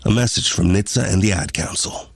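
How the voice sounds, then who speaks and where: A male public service announcer with a low, gravelly voice delivers a scripted warning. Noise is clearly audible behind him. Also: fairly quick.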